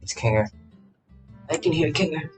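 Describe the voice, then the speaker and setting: A woman's voice: low-pitched, lively intonation, normal speed. A woman game streamer giving casual let's play commentary, playfully repeating phrases over game music. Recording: loud game music behind.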